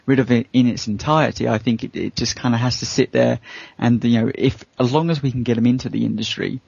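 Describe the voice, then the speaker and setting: A man's voice: mid-range voice, fairly even intonation, fast, creaky, darkish tone, husky, no room sound. A man talking casually on a discussion panel, hedging as he makes his points. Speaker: show regular. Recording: dull, lo-fi voice recording.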